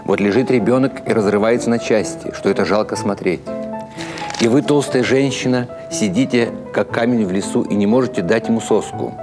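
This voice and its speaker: A man's voice: fairly low pitch, brisk, over music, lightly acted. Man playing indignant little old broker, wordy and complaining. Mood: reproachful. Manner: rhetorical, complaining.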